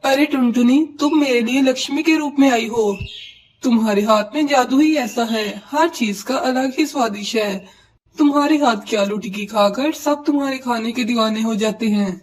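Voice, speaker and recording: A woman voicing an excitable male pigeon husband in a Hindi cartoon, emphatic and dramatic. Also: on the high side, moderate pace, slight background noise, lightly acted. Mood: eager.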